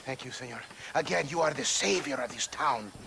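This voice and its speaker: An actor playing a pleading, grateful Mexican townsman with an excitable, deferential manner in a Western video game. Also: mid-range voice, fairly quick, gravelly, background noise, natural voice.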